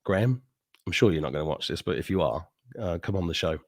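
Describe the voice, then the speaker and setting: A man's voice: low-pitched, lively intonation, quick pace. A middle-aged man hosting a live stream, chatting casually with viewers, joking, laughing often and drifting into rambling asides. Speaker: host.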